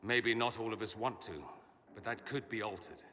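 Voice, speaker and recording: An actor playing a witty, theatrical mage and homesick idealist in a dramatic game scene, with wry, polished delivery. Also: male voice, on the low side, fairly even intonation, moderate pace, pushed and bright, big-room sound, a little hiss or hum. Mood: brooding, earnest.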